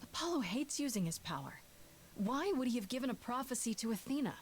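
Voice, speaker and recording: A woman's voice: on the high side, expressive, fairly quick, lightly acted. An actress playing an earnest, wounded but defiant young woman in a video game drama, with emotional delivery. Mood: questioning, urgent. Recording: game audio with faint background noise.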